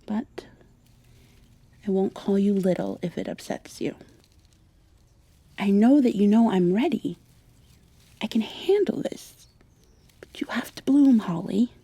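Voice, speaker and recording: A woman in an ASMR roleplay playing a gentle, wry young witch who coaxes her plants in a soft-spoken way. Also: mid-range voice, animated, slow, pauses often, husky, no room sound, exaggerated voice. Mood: gentle, pleading.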